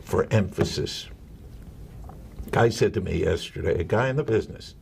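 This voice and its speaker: An older man with a low, gravelly voice, a longtime broadcaster swapping stories as a guest. He is chatty and quick to joke at himself. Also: a little hiss or hum. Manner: chatty, joking storyteller. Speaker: interview guest, veteran broadcaster. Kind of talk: casual interview chat.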